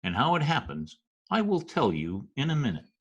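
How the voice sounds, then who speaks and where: Streetwise New narrator, played by a man: husky, lively pitch swings, dry wit, radio-drama style. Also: normal speed, lo-fi sound. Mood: wry storytelling. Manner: wry, deadpan. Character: Broadway, wry streetwise New York storyteller man. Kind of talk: old-time radio drama narration and dialogue. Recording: slightly rough remote video-call audio.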